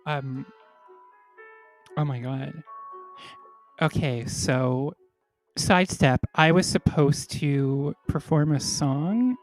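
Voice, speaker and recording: A congested, slightly tipsy woman hosts an online comedy show over a video call. She speaks slowly in a lowish voice but turns bubbly and giggly when she greets her guests. Faint music plays behind her. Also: low-quality recording. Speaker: host.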